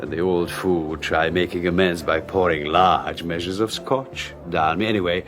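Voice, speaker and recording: An actor playing a suave, scheming husband in a 1980s radio drama, smooth, smug and mocking. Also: male voice, sits low, steady tone, normal speed, fairly bright tone, soft background music. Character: suave scheming male husband, middle-aged.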